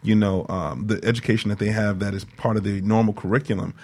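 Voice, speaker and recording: A man co-hosts a casual radio interview. His voice sits fairly low. He talks conversationally and affirms what guests say with encouragement. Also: level tone, fast, darkish tone. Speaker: co-host.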